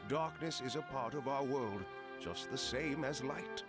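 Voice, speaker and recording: A man playing a wise, gentle, philosophical elder in a video game cutscene, speaking in a solemn, measured way. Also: medium pitch, close to an everyday voice. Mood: solemn, reflective. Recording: loud music behind the voice.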